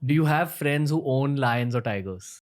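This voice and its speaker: A man's voice: medium pitch, expressive, normal speed. A man from India hosting a podcast, asking direct and curious questions in a casual interview. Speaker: podcast host. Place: studio in Mumbai.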